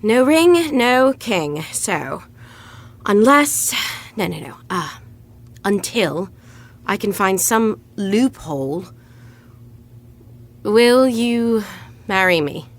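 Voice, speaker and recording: A woman's voice: medium pitch, sing-song, drawn-out words, long pauses, full character voice. An actress playing a studious, insistent young woman in a romantic comedy audio drama, wry and a little flustered in her confidence. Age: young adult. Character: brisk, bossy, studious young woman scheming fake engagement.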